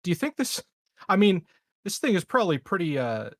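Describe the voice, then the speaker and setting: A man's voice: high-pitched, very animated, a little fast, no room sound, clean studio sound. A man chatting casually as a player at a tabletop roleplaying game, with loose, sweary phrasing. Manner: casual, profane.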